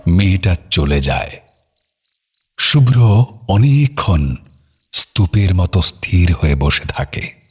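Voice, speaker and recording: A man's voice: deep voice, very animated, slow pace, long pauses, a little hiss or hum. A man narrating an audio story in a solemn, literary and dramatic way.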